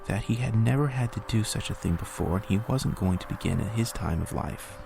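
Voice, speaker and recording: A man reading a bedtime story in a steady, unhurried way. His voice is very deep and heavily gravelly. Soft background music plays throughout.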